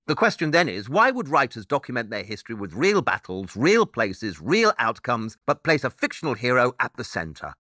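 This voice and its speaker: A precise, animated pedantic detective. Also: male voice, medium pitch, normal speed, hardly any pauses, dry recording, studio quality, heavily acted. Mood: intent, lecturing. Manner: precise, didactic, emphatic. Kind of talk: scripted audio drama dialogue.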